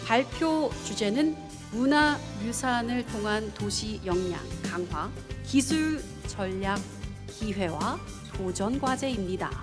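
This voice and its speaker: A woman's voice: mid-range voice, lively intonation, racing. A woman emcee giving formal introductions at a conference. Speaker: bilingual event emcee. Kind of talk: formal announcement. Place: large hotel conference hall. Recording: long hall reverb, soft music.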